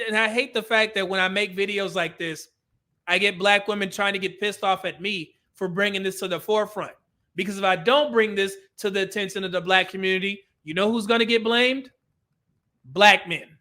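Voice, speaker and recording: A man's voice: sits high, normal speed, bright, forceful tone. A male commentary host ranting over clips, blunt and profane, with a preachy edge.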